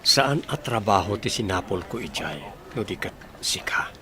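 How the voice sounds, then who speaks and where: A man's voice: lowish voice, expressive, normal speed, natural voice. A man with an Ilocano accent playing an earnest, romantic young suitor in a radio drama. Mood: wooing. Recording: clear background noise and ambience.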